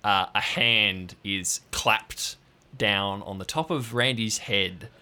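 A man narrating as game master of an improvised audio drama, in relaxed, descriptive narration with frequent ums. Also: lowish voice, takes it slowly.

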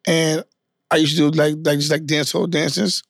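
Male dancer guest, from New York; gravelly, easygoing, dry close sound. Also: fairly high pitch, flat delivery, moderate pace, dry recording. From New York, family from Guyana. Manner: easygoing, loose storytelling with many fillers. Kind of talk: casual interview chat.

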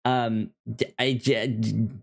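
A man co-hosting a casual TV review podcast, talking in a halting way with frequent stammers and restarted phrases. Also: medium pitch, level tone, vocal fry. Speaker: podcast co-host.

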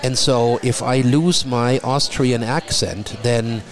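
A middle-aged man from Germany with a German accent, talking in a relaxed conversation and often repeating a word as he starts a thought. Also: mid-range voice, slow pace. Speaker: guest. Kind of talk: casual conversation.

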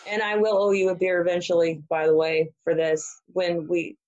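A woman's voice: on the low side, level tone, moderate pace, a little mellow. Chatty fifty-year-old woman, lo-fi sound. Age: 50s. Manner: chatty, self-deprecating, laughs often. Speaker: guest, former ship captain. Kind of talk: casual interview chat.

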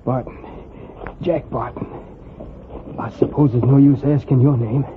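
An actor plays an earnest runaway of twenty in an old radio western. His American voice is mid-pitched, folksy and halting, full of trouble. The recording is narrow-band and noisy. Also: steady tone, close to an everyday voice. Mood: weak, weary. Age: young adult. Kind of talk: 1940s radio western acting. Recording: muffled, hissy vintage radio recording.

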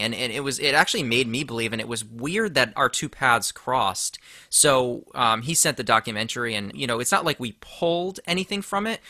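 A man from Connecticut tells casual anecdotes as an interview guest. His voice is very bright and forceful at an average pitch, and his manner is informal and excitable. The sound is dry and close. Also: no echo. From Connecticut, United States.

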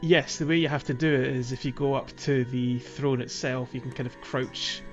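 A man's voice: mid-range voice, steady tone, normal speed, highs cut off. Casual male podcast host over music. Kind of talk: casual podcast playthrough recap of a video game.

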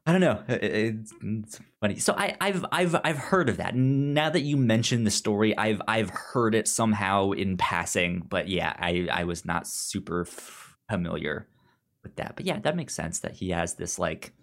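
Halting man with very lively pitch. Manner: hesitant, halting, repeats words while forming thoughts. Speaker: podcast co-host. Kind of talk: casual comic book discussion.

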